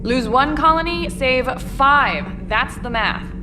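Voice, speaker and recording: A woman's voice: high voice, expressive, slow pace. A woman playing an unfazed, opinionated ship's reporter in a video game, flippant and self-assured. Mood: defensive, flippant. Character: opinionated, unfazed young female crew reporter. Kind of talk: video game character dialogue. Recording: music behind voice.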